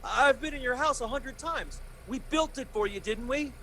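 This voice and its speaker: A man's voice: very high voice, normal speed, sharp tone, slight background noise, exaggerated voice. An actor playing a desperate, agitated family man in a pleading, old-time radio drama style. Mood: frantic, impatient.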